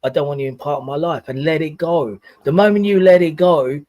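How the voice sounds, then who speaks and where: A man in his forties offers life advice in a casual livestream chat, leaning on sayings in a preachy way. His voice sits fairly high, and the sound is dry and close. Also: fairly quick, darkish tone, no echo. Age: 40s. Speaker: guest on a livestream.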